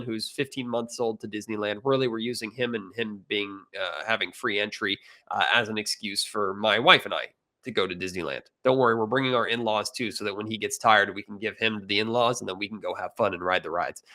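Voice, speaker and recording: A man's voice: medium pitch, pushed and bright. A young adult American man hosting a sports podcast in a conversational style. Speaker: podcast host.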